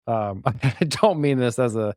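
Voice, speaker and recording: A man's voice: medium pitch, unhurried, darkish tone, no echo, studio quality. A man chatting casually as a podcast guest, with a joking, teasing manner. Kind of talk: casual chat.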